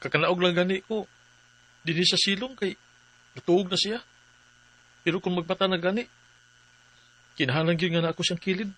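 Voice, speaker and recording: An actor with a Cebuano Filipino accent playing a troubled, devoted forty-year-old farmer in a radio drama. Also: male voice, sits high, steady tone, brisk, pauses often, a bit bright, rough recording. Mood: worried. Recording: faint music bed.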